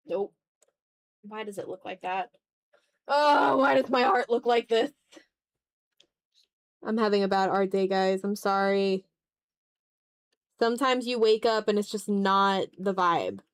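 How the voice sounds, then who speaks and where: Woman, art livestreamer, mid-pitched voice, casual rambling chat, self-deprecating. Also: unhurried, pauses often, no echo. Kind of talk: casual chat while drawing on stream.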